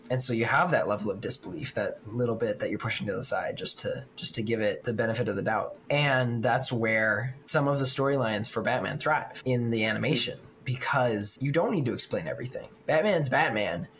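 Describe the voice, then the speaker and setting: A man co-hosting a casual film podcast, talking in a reflective, relaxed way with small laughs. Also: mid-range voice, brisk, few pauses, a little hiss or hum. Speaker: podcast co-host.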